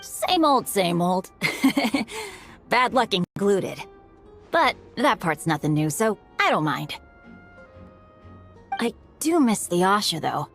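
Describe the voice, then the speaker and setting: A woman's voice: medium pitch, big pitch swings, takes it slowly, long pauses, fairly bright tone, full character voice. A cheerful teenage boy adventurer for an anime game. The delivery is casual and good-natured, taking bad luck in stride, with faint music underneath. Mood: good-natured, resigned. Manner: easygoing, upbeat, casual.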